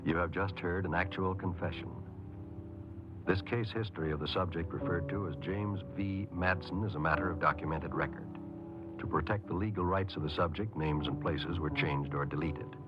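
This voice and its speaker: Deep-voiced solemn American radio announcer. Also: male voice, normal speed. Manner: solemn, authoritative. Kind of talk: formal scripted 1950s radio narration. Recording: tinny 1950s radio, loud music behind.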